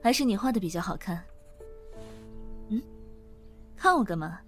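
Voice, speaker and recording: Calm, teasing female office manager, acted by a woman. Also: medium pitch, normal speed, soft background music, close to an everyday voice. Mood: warm, teasing. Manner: gentle, soothing, lightly teasing. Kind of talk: modern audio drama acting.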